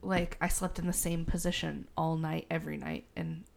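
A woman co-hosting a casual podcast chat, her voice deep and fried from a sinus infection, laughing often and swearing casually. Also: fairly even intonation, normal speed. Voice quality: gravelly. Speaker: podcast co-host.

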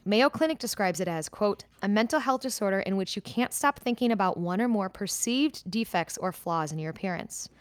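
Woman in her 30s, podcast co-host, candid and halting, mid-pitched, close dry mic. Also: lively intonation, no echo. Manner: candid, talks in starts and restarts. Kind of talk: casual chat and reading a letter aloud.

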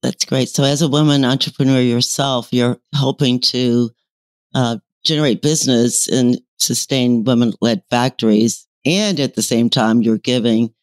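A woman hosting an interview podcast, encouraging and unhurried, with halting, searching phrasing. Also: rumbling low, fairly even intonation, darkish tone, no room sound.

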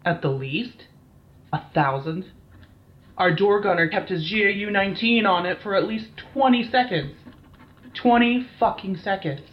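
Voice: medium pitch, moderate pace, lightly acted. An actor playing a shaken, foul-mouthed military team captain in an audio drama, blunt and weary, on a slightly dull recording. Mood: grim, weary. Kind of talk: dramatised incident interview, audio drama acting.

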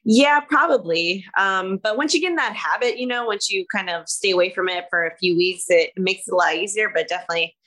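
Female phone guest: mid-pitched, bright, easygoing. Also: fairly quick, hardly any pauses. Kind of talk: casual interview.

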